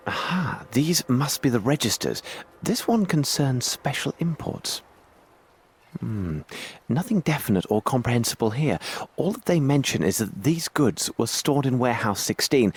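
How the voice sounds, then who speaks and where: A husky-voiced English gentleman detective in a video game. He is formal, brisk and analytical, laying out deductions precisely, with lively rises and falls in pitch. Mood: brisk, commanding. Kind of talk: video game cutscene acting.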